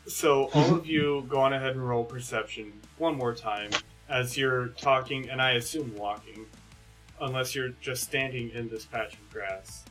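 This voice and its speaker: Halting male game master, medium pitch. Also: fairly even intonation, a bit bright, soft background music, low-quality recording. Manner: halting, conversational. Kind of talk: casual tabletop game narration.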